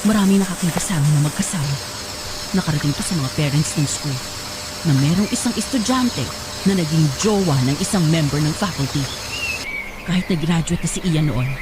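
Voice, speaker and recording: A woman's voice: low-pitched, background noise, close to an everyday voice. A woman playing a jilted former teacher who confronts her rival with accusations in a scripted audio drama, over background music. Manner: accusing, rising to shouting. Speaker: former high school chemistry teacher.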